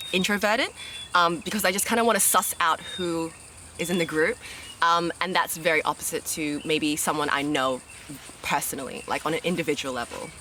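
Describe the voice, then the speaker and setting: Bright-voiced young woman. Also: fairly low pitch, slight background noise. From Australia. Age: 20s. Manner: chatty, upbeat, many fillers and restarts. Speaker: reality game show contestant. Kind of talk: casual interview answers.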